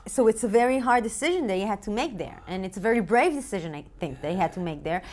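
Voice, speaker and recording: A woman's voice: medium pitch, lively intonation, fast, darkish tone, a little hiss or hum. A woman with an Israeli accent explaining ideas as a co-host in casual discussion, using rhetorical questions. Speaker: philosophy expert and co-host.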